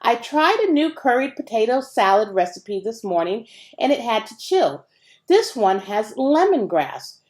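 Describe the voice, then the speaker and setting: A woman voicing an encouraging, brisk mother and piano teacher in a storybook, speaking with affectionate praise. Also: fairly high pitch, very animated, darkish tone.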